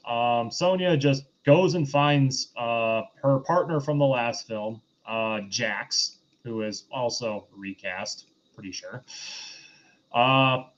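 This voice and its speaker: Man casually recapping, slightly lo-fi sound. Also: mid-range voice. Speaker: host of a film review show. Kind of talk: casual chat, recapping and reviewing a film.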